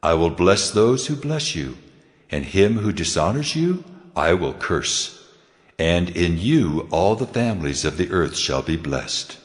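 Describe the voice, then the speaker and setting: A man voicing God as a solemn, commanding deity in a dramatized scripture reading, with measured authority. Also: sits low, very animated. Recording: long cavernous reverb.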